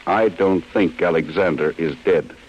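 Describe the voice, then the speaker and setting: An actor with an American accent playing a cold, relentless chief insurance investigator in an old-time radio drama, speaking in a stern, deliberate way. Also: male voice, deep voice, loud music behind the voice, lightly acted. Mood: grim, resolute. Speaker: actor in a radio detective serial. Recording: muffled, hissy old radio broadcast.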